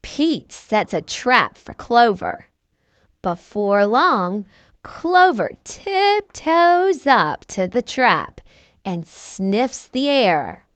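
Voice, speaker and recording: Very slow, high-voiced woman reading a storybook. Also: dry recording. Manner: expressive, deliberate storytelling. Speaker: children's story reader. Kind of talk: scripted read-aloud storybook narration.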